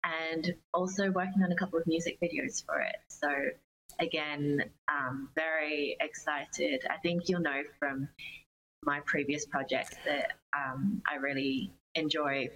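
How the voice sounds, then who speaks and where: A young woman speaks in a low register for a female voice. She is a guest musician answering in casual interview conversation, with a faint steady hum in the background. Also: unhurried, rough recording. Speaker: interview guest, pop singer-songwriter.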